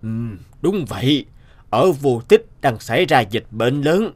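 A man's voice: medium pitch, sing-song, a little slow. A man playing a kindly, worried older man in a cartoon, earnest and a little hesitant as he seeks help. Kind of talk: animated cartoon dialogue.

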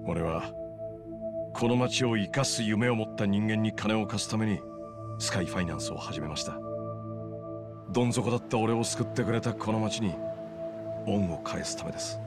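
A man's voice: on the low side, moderate pace, long pauses, lightly acted. An actor playing an earnest man in his thirties in a game cutscene, explaining matters at length in a serious tone. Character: earnest male informant, thirties, loyal and troubled. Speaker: loan business owner. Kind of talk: video game cutscene dialogue. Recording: game audio with music behind. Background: music.

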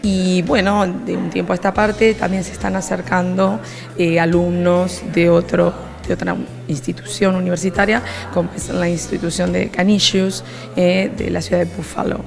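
A middle-aged woman with an Argentine accent, a program coordinator explaining the course in an interview, measured and pausing on "eh". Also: fairly low pitch, little pitch movement, vocal fry, soft background music. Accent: Argentine Spanish. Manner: measured, with frequent "eh" fillers. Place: classroom with students behind. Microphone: handheld reporter mic.

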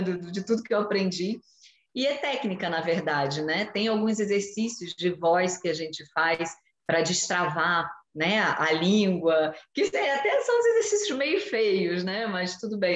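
A woman in her forties, a journalist guest, explaining in a lively way during a video-call interview from home. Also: medium pitch. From Brazil. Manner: animated, explanatory, gestures while talking. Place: home room with shelves. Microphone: video call webcam. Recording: echoey room on video call.

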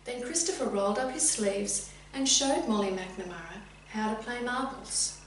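A middle-aged woman reading a children's story expressively and deliberately, addressing young listeners directly. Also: on the high side. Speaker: teacher reading a picture book. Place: indoors, sitting room with bookcase. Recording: very echoey room, distant mic, faint hum.

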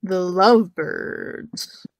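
A woman's voice: on the low side, drawn-out words, dry recording, studio quality. A young actress playing a sarcastic young woman who teases and needles in an audio drama. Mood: smug. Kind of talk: audio drama dialogue of a video call.

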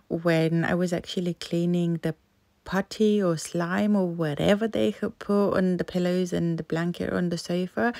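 A woman's voice: low voice, creaky, no echo. A woman in her thirties from the UK, a mum of three, chatting candidly in a home vlog voice-over about her worries and chores. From United Kingdom. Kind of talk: casual voice-over narration.

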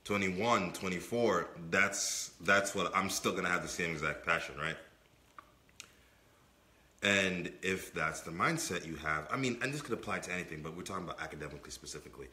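A young man with a very low, forceful voice co-hosts a casual podcast. He sounds slightly under the weather and repeats points for emphasis. The room around him is echoey. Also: fairly quick. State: under the weather, voice not fully clear. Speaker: podcast co-host. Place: indoor room with brick and wood-panel wall.

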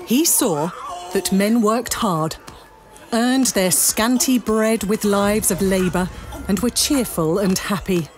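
A woman narrating a dramatic scripted story in measured, deliberate phrases. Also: medium pitch. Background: music.

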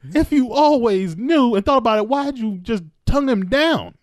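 Podcast host: animated, joking man with swooping pitch and a dry, close sound. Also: normal speed, no echo. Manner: animated, crude joking, repeats phrases for emphasis. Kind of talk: casual group banter. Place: small room at home, around a table. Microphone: close podcast microphone.

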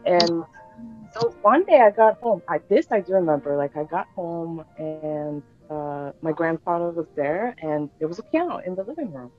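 A woman's voice: lowish voice, lively intonation, normal speed, faint music behind. A woman in her thirties, born in Bulgaria and raised in Canada, chatting casually as a guest over a video call, with many fillers and restarts. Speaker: guest pianist. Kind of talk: casual interview chat. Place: home room indoors. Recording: tinny video call audio.

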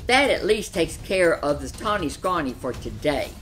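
A woman in her seventies voicing sly, flattering big animals in a children's storybook, with a coaxing manner. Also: low voice, moderate pace, faint music behind. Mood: relieved.

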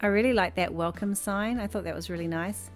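A woman's voice: mid-range voice, a little fast. A woman in her thirties, a chatty vlogger talking over her shopping footage from her bedroom, gushing and rambling. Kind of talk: casual voice-over chat. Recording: music faintly behind.

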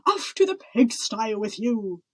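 A woman's voice: on the high side, sing-song, measured pace, slightly rough, no echo, full character voice. A woman voicing a stern, exasperated king and father in a storybook, commanding and blustering. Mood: enraged. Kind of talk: storybook character voice.

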